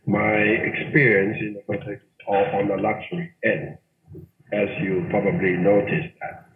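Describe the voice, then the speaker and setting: A man's voice: fairly low pitch, moderate pace. Middle-aged man on a tinny, echoey phone line. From Thailand. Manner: animated, repeats words for emphasis. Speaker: hotel general manager. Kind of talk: interview guest. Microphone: phone or call line.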